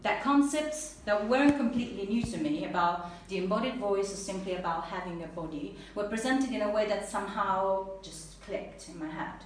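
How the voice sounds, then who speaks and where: A woman with an Italian accent giving an earnest, animated personal talk to an audience, then answering questions. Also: medium pitch, normal speed, hardly any pauses. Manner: earnest, animated, laughs easily. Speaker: speaker at a talk. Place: event room with an audience. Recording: echoey room, mic at a distance, faint noise.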